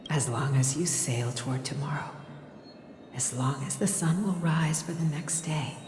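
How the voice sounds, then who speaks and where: A woman playing a gentle, loving mother in a dramatic game cutscene, speaking tenderly in a slow, hushed, breathy voice. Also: sits low, steady tone, background noise. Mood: wistful, reassuring. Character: gentle, loving mother and holy maiden soothing her child. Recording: echoey long reverb under clear music.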